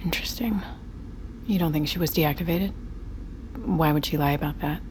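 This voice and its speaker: An actress playing a calm, calculating and persuasive survivor in an intimate audio drama. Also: female voice, deep voice, normal speed, a little hiss or hum, natural voice, rough recording. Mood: probing, intrigued.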